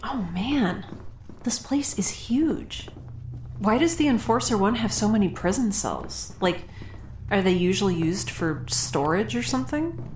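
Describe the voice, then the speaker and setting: A woman's voice: mid-range voice, lively intonation, measured pace, darkish tone, a little hiss or hum, close to an everyday voice. Actress playing a chatty, eager young space mutineer woman. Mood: baffled. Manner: rambling, cheerful, informal. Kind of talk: audio drama acting, conversational.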